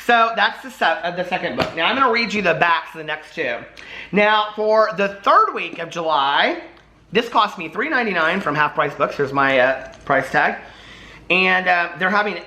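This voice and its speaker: A man's voice: a little high, pushed and bright. A man chatting casually as a book vlogger, gushy and playfully dramatic, often wandering off into rambling asides. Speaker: book vlogger hosting a readalong. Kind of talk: casual chat to camera.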